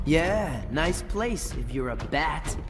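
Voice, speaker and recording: A man's voice: on the high side, sing-song, slow. An actor playing a streetwise young tough guy in a video game cutscene, blunt and slangy with a wry edge. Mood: wry, knowing. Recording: music under the voice.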